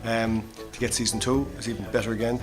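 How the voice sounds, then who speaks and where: A man's voice: mid-range voice, flat delivery, fast talker. An Irish man answering interview questions, often stammering and repeating words. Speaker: community radio development officer. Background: loud music.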